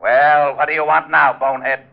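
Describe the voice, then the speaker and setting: An actor playing a gruff, exasperated police lieutenant in a 1940s radio drama, grumbling and blustering. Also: male voice, on the high side, steady tone, moderate pace. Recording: dull old-time radio recording.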